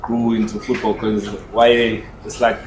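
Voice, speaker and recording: A man's voice: on the low side, monotone, a little slow. A South African man from rural KwaZulu-Natal, casually telling his own story as a guest and switching between two languages. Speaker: podcast guest. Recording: echoey room with noise.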